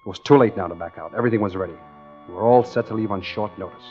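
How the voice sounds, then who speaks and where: Actor as a low-voiced scheming tough guy. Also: rapid-fire, close to an everyday voice. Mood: hard-boiled, confiding. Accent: American. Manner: hard-boiled first-person storytelling. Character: tough scheming American engineer narrating his own swindle. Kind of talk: 1940s radio drama acting. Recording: dull 1940s radio recording with music.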